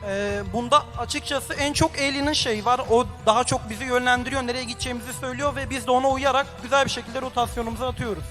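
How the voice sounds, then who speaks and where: A man from Turkey, an esports player, answering in a measured, steady way. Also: very high-pitched, over music.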